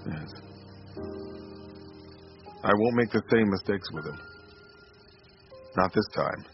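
A man's voice: lowish voice, slow. An actor playing an earnest, remorseful father and husband in a radio drama. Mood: solemn. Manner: earnest, sincere. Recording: muffled radio recording, loud music behind.

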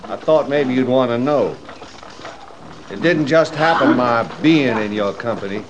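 Actor as an ingratiating cavalry trooper, noisy recording. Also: male voice, mid-range voice, lively intonation, measured pace, dull audio, close to an everyday voice. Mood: needling, deferential. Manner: ingratiating, pointedly deferential. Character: insinuating male cavalry trooper, falsely chummy old friend. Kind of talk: 1950s radio western acting. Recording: noisy old radio recording.

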